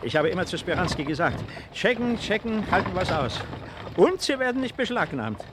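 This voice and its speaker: An actor playing a polite, worried German baron in a 1950s radio drama, hesitant and repeating himself. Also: male voice, high-pitched, big pitch swings, moderate pace. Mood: urging. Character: worried, courteous German baron, a fleeing landowner. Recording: noisy old 1950s radio recording.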